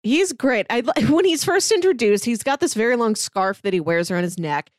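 Higher-voiced woman, chatty podcast talk. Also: lively intonation, fairly quick, no room sound, studio quality. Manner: chatty, peppers speech with "like". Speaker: podcast panelist. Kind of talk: casual animated-series discussion.

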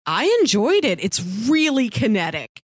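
A woman's voice: fairly high pitch, big pitch swings, moderate pace, no echo, studio quality. A woman co-hosting a podcast in casual conversation, talking in a wry, lightly amused way. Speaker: podcast co-host. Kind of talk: casual chat.

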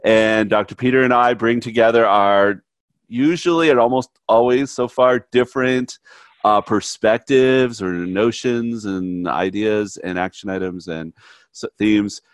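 Stuffy low-voiced man hosting a podcast. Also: a little slow, dry recording. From United States. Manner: relaxed, laughs often, many fillers. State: stuffy from a cold. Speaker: podcast co-host, therapist. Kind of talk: casual conversational podcast.

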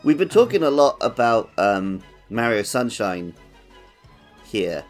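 A man's voice: lowish voice, big pitch swings, takes it slowly, soft background music. A man co-hosting a casual gaming podcast, chatting in a jokey way and slipping into little mimicked quotes. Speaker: podcast co-host.

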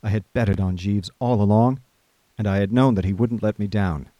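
A man playing a genial, idle young gentleman who narrates his own story in a radio comedy. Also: fairly low pitch, normal speed, no room sound, lightly acted. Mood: admiring. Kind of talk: scripted first-person narration in a radio comedy.

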